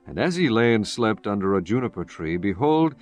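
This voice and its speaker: Casting: man with a fairly low voice, solemn, measured scripted Bible narration over faint music. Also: big pitch swings. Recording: music bed under the voice. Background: background music.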